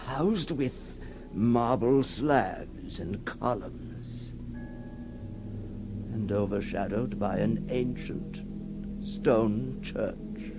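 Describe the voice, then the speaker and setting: A man playing a tormented, awestruck figure emerging from long confinement in a theatrical 1960s radio horror monologue, halting and breathless, repeating his words. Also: medium pitch, gravelly. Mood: feverish elation. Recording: noisy old 1960s radio recording.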